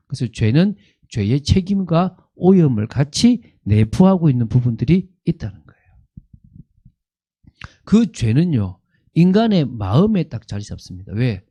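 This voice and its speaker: A man's voice: mid-range voice, dark tone, breathy edge. A man, a pastor, teaching a Bible lesson, repeating points and asking questions that he answers himself. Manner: repetitive, asks questions and answers them himself.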